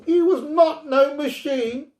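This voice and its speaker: Man voicing a shrill, tearful little girl. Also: takes it slowly, a little mellow. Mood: wailing, fierce. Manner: childlike, pleading, fierce when upset. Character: tearful, excitable little girl who adores her robot. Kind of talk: storybook character reading.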